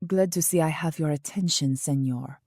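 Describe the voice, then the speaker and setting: Imperious female royal spy played by an actress, cryptic and formal, deep mellow voice, faint music behind. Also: normal speed, no echo, studio quality. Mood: cool, cryptic. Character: mysterious, commanding female royal agent, coolly condescending. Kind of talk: steampunk audio drama acting.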